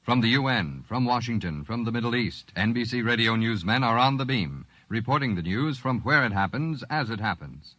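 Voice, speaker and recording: A man's voice: mid-range voice, animated, normal speed, few pauses, fairly bright tone, dry recording. An American man reading a scripted 1950s radio promo with emphatic, polished delivery. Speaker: radio announcer. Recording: vintage radio broadcast.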